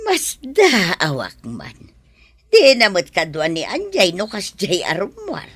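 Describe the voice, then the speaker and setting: A woman's voice: medium pitch, huge pitch swings, slow pace, a bit bright, slightly rough, no echo, full character voice. An actress with an Ilocano accent playing a pert, gossipy village woman in a radio drama.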